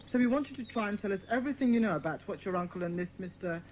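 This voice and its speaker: An actor as Peter, earnest British schoolboy leader, lively. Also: medium pitch, rapid-fire, slight background noise, lightly acted. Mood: bossy, reassuring. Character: Peter, bossy, kindly boy leader of children's secret club. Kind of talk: dramatised children's audiobook acting.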